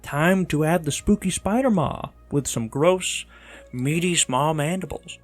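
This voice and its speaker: A man's voice: fairly high pitch, a little slow. A man giving a theatrical, tongue-in-cheek voice-over narration with comic asides, as the host of an art video. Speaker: video host, 3D monster artist. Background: background music.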